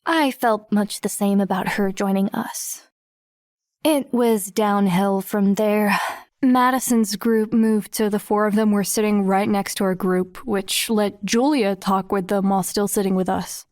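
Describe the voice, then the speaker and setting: A woman's voice: mid-range voice, steady tone, measured pace, darkish tone, no room sound, studio quality, natural voice. An actress playing a wry, self-conscious teenage girl narrating her own story in an audiobook. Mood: embarrassed, wry. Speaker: lead narrator. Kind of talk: first-person audiobook narration.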